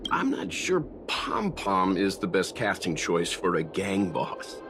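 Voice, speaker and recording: A calm, deadpan young man makes dry remarks in a low voice that still rises and falls widely. It is game cutscene audio with clear music behind. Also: slow pace, heavily acted.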